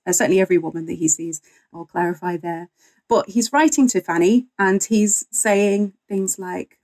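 A woman speaks as a guest author in a relaxed history interview. Her mid-pitched voice has a noticeable creak, and she tells things candidly with wry humour. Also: low-quality recording. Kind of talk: conversational interview, telling history.

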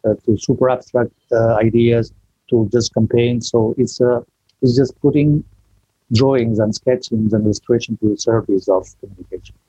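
Low-voiced man, self-deprecating video call chat. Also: fairly even intonation, mellow, husky, no echo, highs cut off. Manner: chatty, self-deprecating, halting restarts. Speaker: guest. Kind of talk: casual online interview.

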